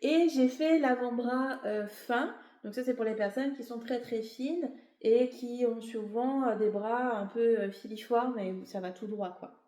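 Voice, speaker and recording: A woman's voice: a little high, a little slow, few pauses, dark tone, roomy sound. A woman with a cold, a little tired, explaining her knitting in a chatty, rambling way, full of fillers and self-corrections. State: has a cold; a bit tired. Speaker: knitting vlogger. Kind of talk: casual show-and-tell explanation.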